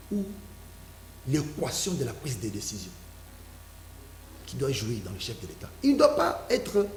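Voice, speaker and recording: A man, a legal guest in a studio debate, explaining a law in an emphatic, lecturing way and repeating his phrases. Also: medium pitch. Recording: echoey room with faint hum.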